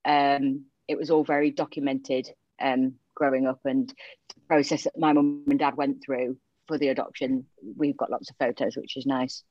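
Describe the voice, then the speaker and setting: A woman's voice: extremely low pitch, flat delivery, moderate pace, dry recording. A woman from Romania with a Yorkshire accent, chatting openly as a podcast guest. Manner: chatty, open, many fillers. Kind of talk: casual interview chat. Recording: dull muffled audio.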